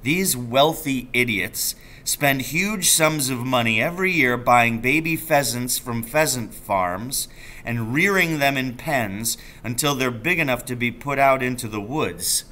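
A man's voice: medium pitch, measured pace, bright, forceful tone. A man voicing an enthusiastic, roguish father in a storybook, telling tales with emphatic relish. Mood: scornful, explaining.

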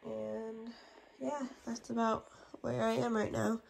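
Young woman vlogging, gravelly with a cold. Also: fairly high pitch, flat delivery, slow, darkish tone, a little hiss or hum. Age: young adult. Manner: chatty, upbeat morning updates. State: getting over a cold. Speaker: family vlogger. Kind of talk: casual vlog to camera. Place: home living room, indoors. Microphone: phone held at arm's length.

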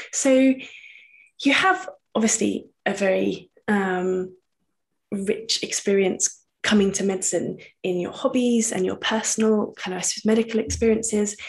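Give me a slightly gravelly woman hosting an interview. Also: medium pitch. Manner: encouraging, frequent mm-hmms. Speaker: interview host. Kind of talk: casual video-call interview. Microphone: studio microphone on arm.

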